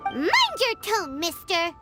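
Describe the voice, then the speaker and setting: A woman's voice: very high-pitched, lively intonation, slow pace, exaggerated voice. A woman voicing a tiny, cheeky, childlike fairy companion in a video game, exclamatory and speaking of herself by name. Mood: indignant. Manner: exclamatory, refers to self in third person. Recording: loud music under the voice.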